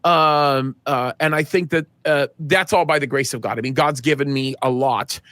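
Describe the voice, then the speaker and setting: A man's voice: mid-range voice, fairly even intonation, moderate pace, fairly bright tone, no room sound. A middle-aged man, a pastor, answering interview questions earnestly, often restarting and building emphasis. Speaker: guest pastor.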